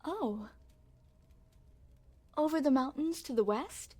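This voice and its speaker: Woman acting a soft-toned, unhurried regal young princess. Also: sits high, expressive. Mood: sweet, curious. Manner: formal, courtly, coy phrasing. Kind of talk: intimate ASMR roleplay acting.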